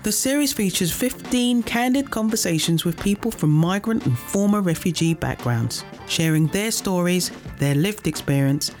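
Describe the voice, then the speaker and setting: A woman's voice: lowish voice, animated, normal speed, few pauses, a little mellow. A woman reading a scripted, formal programme introduction. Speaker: announcer. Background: guitar music.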